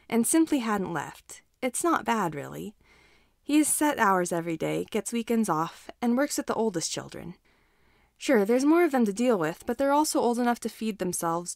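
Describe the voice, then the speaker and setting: A woman's voice: mid-range voice, dry recording, studio quality. A woman reading a story aloud as a scripted audiobook-style narration.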